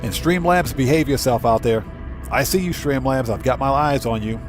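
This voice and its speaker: A man's voice: mid-range voice, moderate pace, music in the background. A man giving casual, off-the-cuff commentary as he live streams a video game.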